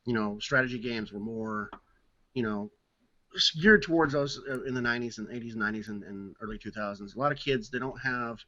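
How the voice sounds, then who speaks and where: Fast-talking guy, dull lo-fi audio. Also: mid-range voice, bright, forceful tone. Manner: rambling, many restarts, explains at length. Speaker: podcast co-host. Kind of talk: casual chat.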